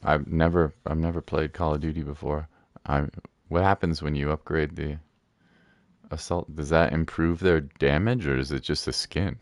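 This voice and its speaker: A man's voice: a bass voice, fairly even intonation, moderate pace, no room sound. A man giving casual, chatty livestream commentary over a game in a playful way. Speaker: game streamer. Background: faint game music.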